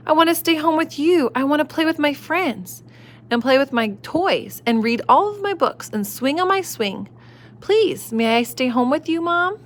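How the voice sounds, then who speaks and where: A woman voicing a young boy raccoon who does not want to go to school, in a high, pleading child voice. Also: animated, moderate pace, slight background noise. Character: young male raccoon, anxious then delighted.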